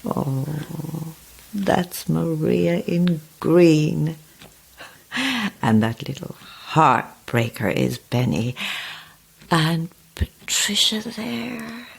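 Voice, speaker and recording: An actress playing a sly, sweetly menacing ancient old woman in a horror audio drama, husky and gravelly, with slow, relishing delivery. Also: low-pitched, lively intonation, exaggerated voice. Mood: gloating, doting. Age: older. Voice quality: husky, gravelly, sometimes hissing whisper. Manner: slow, relishing, theatrical, condescending endearments.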